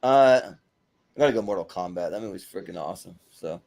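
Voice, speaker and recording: A man with a fairly low voice is hosting a panel discussion. He talks in a casual, conversational way, like someone chatting with fellow panelists. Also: animated, a little fast, gravelly, darkish tone. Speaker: host of panel show.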